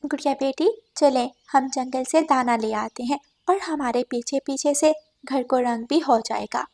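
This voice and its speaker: A woman's voice: sits high, unhurried, darkish tone. A woman voicing a kind, fussy mother bird in a children's cartoon, courteous but insistent. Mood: chatty. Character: kind, fussy female songbird homeowner and mother. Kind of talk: Hindi children's cartoon dialogue.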